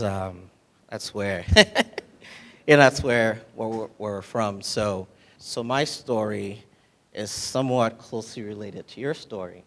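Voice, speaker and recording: A man in his forties from Haiti, talking casually at a conference and often checking in with "right?". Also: fairly low pitch. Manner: conversational, frequent "right?" tag questions. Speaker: guest speaker.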